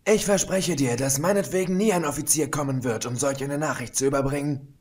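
A man's voice: medium pitch, fairly quick, a little mellow, pitched above the performer's own voice, close to an everyday voice. A man voicing a brash, cocky young female pegasus pony in an audiobook reading.

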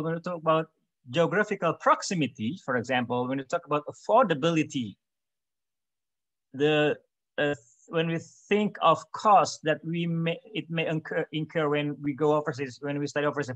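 A middle-aged man giving an academic webinar talk over a video call, measured, with many "for example"s and pauses. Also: mid-range voice, a bit bright, dry recording. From Indonesia. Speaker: webinar speaker.

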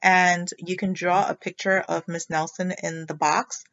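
A woman teacher reading a storybook aloud to children in an expressive, instructive way, on a slightly dull recording. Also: on the low side, a little slow. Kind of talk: storybook read-aloud narration.

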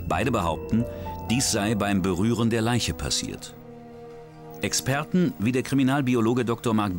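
A man reading the scripted narration of a true crime documentary in a dramatic, suspenseful way. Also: on the low side. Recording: voice-over with music bed.

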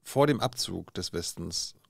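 Deep-voiced male host, blunt short questions. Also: expressive, a little slow, creaky, a little mellow, husky, no echo, studio quality. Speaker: interview host. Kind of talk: studio interview. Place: small dark studio. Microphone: studio mic on a stand.

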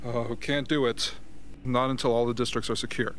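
A man's voice: medium pitch, steady tone, normal speed, a bit bright, a little hiss or hum, natural voice. Man playing a pragmatic mid-voiced rebel official. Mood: matter-of-fact. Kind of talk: radio drama acting.

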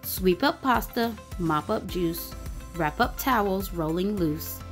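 Female storyteller for kids' picture books: unhurried, expressive, widely swooping pitch, music underneath. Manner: expressive, sing-song rhyming delivery. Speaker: children's story reader. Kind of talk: animated picture-book read-aloud. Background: background music.

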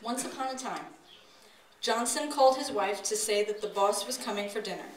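A woman's voice: on the high side, a little fast. A woman doing a live comic story reading in a storybook narrating manner, recorded from the audience in an open-sided wooden pavilion. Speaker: author reading own work aloud. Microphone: distant audience camera. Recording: echoey pavilion, distant noisy camera audio.

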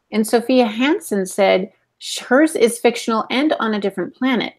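A middle-aged woman casually moderating a podcast on a video call, relaying questions from listeners. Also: mid-range voice. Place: home room, indoors. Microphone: earbud headset on video call.

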